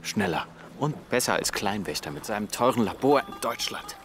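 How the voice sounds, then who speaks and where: An actor in a radio drama playing a proud, ambitious young Egyptian engineer, earnest and resentful, in intimate dialogue. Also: male voice, on the low side, animated, normal speed. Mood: determined. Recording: music behind the voice. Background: music.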